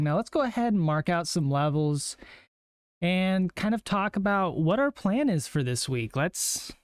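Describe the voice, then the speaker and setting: A young man with a hoarse, worn-out voice, talking casually as he walks through a trading plan on a live stream, with plenty of ums and rights. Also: mid-range voice, dry recording, clean studio sound. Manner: laid-back, chatty, lots of ums and rights. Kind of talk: casual live market walkthrough.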